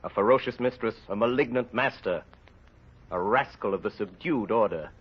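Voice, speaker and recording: Husky male old-time radio narrator. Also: fairly low pitch. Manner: grave, deliberate, theatrical. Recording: muffled old radio with music behind.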